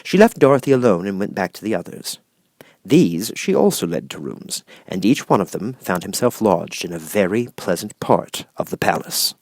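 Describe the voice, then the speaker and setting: A man reading scripted storybook narration as an audiobook narrator, in measured, clear phrases. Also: low voice, very animated, a little mellow, no echo, clean studio sound.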